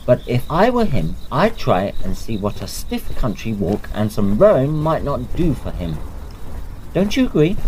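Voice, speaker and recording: Brisk, animated Victorian medical man, acted. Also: medium pitch, a little hiss or hum, rough recording. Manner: chatty, advice-giving. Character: brisk, matter-of-fact male Victorian doctor friend. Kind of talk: period audio drama acting.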